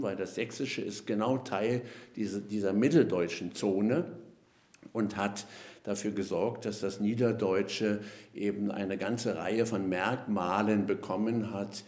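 A man, a linguist, explaining at length in a podcast interview, measured and lecturing, with frequent hesitation fillers. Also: on the low side, a little mellow, echoey room. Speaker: linguist guest.

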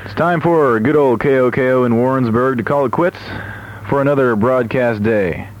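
A man's voice: medium pitch, normal speed, highs cut off, slight background noise. A man working as a radio DJ, chatting between records in a studio, on an old AM aircheck recording. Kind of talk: radio music DJ chat. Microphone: studio broadcast microphone.

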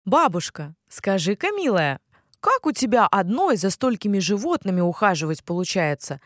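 A woman's voice: medium pitch, very animated, normal speed, no room sound, clean studio sound, lightly acted. A woman voicing curious adult villagers in a children's fairy tale, asking eager questions.

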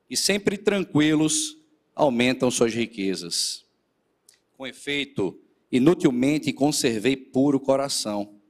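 A man, a presbyter leading a church service, a little nasal and hoarse from a cold, speaking earnestly to the congregation. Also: mid-range voice, in a large hall. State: still a bit hoarse after the flu. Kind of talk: sermon with scripture reading.

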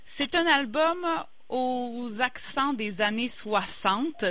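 A woman's voice: a little high, animated, takes it slowly, sharp tone. A woman radio host who interviews a guest and sums up her story for listeners. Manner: attentive, summarizing the guest's story.

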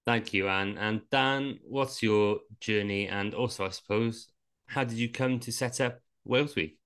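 A man hosting a podcast, introducing guests in a relaxed, informal way. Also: lowish voice, measured pace, smooth. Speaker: podcast host.

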